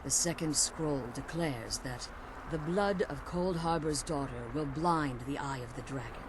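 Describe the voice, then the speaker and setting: A woman's voice: low-pitched, measured pace, noisy background. An actress playing an ancient vampire mother in a video game, imperious, severe and protective, with formal, theatrical speech. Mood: grave, solemn. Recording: loud game ambience behind the voice.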